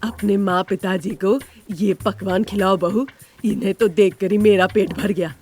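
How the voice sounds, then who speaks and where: A stern older mother-in-law, voiced by a woman storyteller, scolds in a medium-pitched voice. She is quarrelsome and sarcastic, as in a family drama cartoon. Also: steady tone, unhurried, a little mellow, faint music behind, close to an everyday voice.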